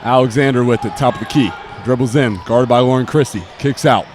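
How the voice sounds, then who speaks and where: A man's voice: medium pitch, a little fast. A young student man doing live basketball play-by-play in clipped phrases that follow each action. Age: teenager or young adult student. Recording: noisy gym, bouncing balls behind. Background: gym ambience and basketball bounces.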